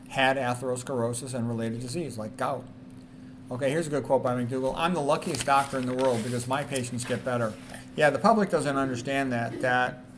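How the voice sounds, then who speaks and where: A man's voice: medium pitch, poor audio. A man presenting informally, reading quotations aloud and adding emphatic commentary, often repeating key statements. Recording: noticeable background noise.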